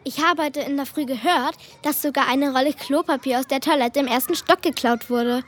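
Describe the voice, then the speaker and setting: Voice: high-pitched, brisk, a bit bright. A child playing an earnest fourth-grade pupil in a children's audio play. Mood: chatty.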